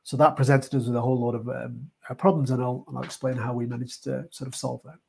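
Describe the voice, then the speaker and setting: A man's voice: medium pitch, flat delivery, quick pace, gravelly, dark tone, a little hiss or hum. A middle-aged man with a British accent giving an informal slide presentation over a video call, thinking aloud with frequent hesitations. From United Kingdom. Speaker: artist and project leader presenting a heritage talk. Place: indoor room, online video call.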